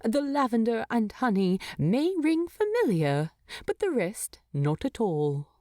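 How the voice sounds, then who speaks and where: Woman playing a fussy, lively, cheeky male hobbit. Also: on the high side, dry recording, clean studio sound, pitched above the performer's own voice. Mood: explaining. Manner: brisk, prim, wry.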